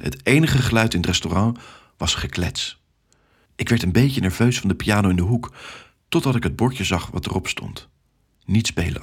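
A man narrating a children's story in the first person, acting it out expressively with dramatic turns and hushed asides. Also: lowish voice, husky.

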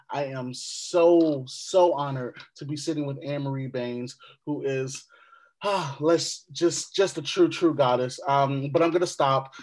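A man's voice: fairly high pitch, darkish tone. A young man hosting a casual podcast interview, effusive and full of ums and likes. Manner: effusive, heavy with fillers. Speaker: podcast host.

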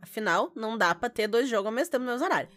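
A Brazilian woman with a fairly high voice talks as a podcast co-host. Her chat is casual and teasing, with faint music behind her. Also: animated, very fast, fairly bright tone.

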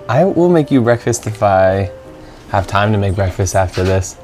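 A man's voice: fairly low pitch, lively intonation, moderate pace, darkish tone, soft background music. Laughing, teasing young man. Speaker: podcast co-host. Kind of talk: casual podcast banter.

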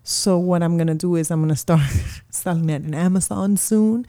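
A woman's voice: on the low side, normal speed, dark tone. A woman in her forties, a small business owner, chatting casually and rambling with lots of fillers in an interview. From Colombia.

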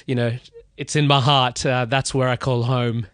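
A man's voice: mid-range voice, little pitch movement, normal speed, fairly bright tone, no echo, clean studio sound. A man from Kenya and Australia chatting as a podcast guest, polite and a little hesitant. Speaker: podcast guest, business founder. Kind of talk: casual conversational interview.

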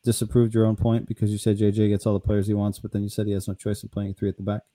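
A man hosting a casual sports podcast, relaxed and full of "um"s as he steers the discussion. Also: fairly low pitch, racing. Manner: relaxed, filler-heavy, steers the talk. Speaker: podcast host.

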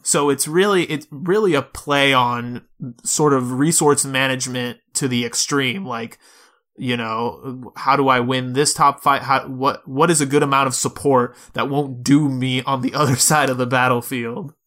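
A man's voice: medium pitch, fairly even intonation, normal speed, a bit bright. A man co-hosting a podcast, talking casually about video games and explaining things step by step. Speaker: podcast host. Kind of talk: casual video game discussion.

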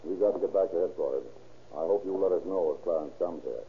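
A suspicious, brisk detective in a vintage radio crime play. His voice sits fairly low, and the recording is thin and tinny with faint noise. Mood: politely probing. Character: brisk, suspicious male police detective lieutenant. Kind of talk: 1930s radio drama acting. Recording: noisy, tinny old-time radio recording.

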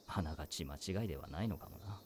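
An actor voicing a weary male high-school teacher who talks in a dry, wry, world-weary way. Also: fast, darkish tone, slight background noise. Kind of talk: voice drama acting.

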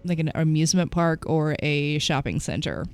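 A young woman with a fairly low voice chats on a radio show. She sounds stuffy and slightly raspy from a cold. She talks casually and pokes fun at herself, with faint music underneath. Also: normal speed. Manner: self-deprecating, laughs often. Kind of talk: casual chat.

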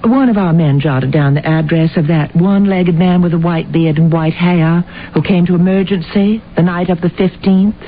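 An actress playing a poised, shrewd woman detective in an old-time radio drama, polite and deliberate in her theatrical delivery. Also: on the low side, lightly acted. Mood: brisk, businesslike. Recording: tinny, band-limited old radio recording, hiss.

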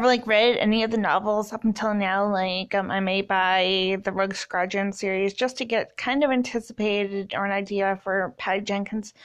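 A woman in her twenties from Canada, hosting a casual solo podcast and rambling with many fillers. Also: mid-range voice. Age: 20s. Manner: rambling, many ums and likes. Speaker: podcast host.

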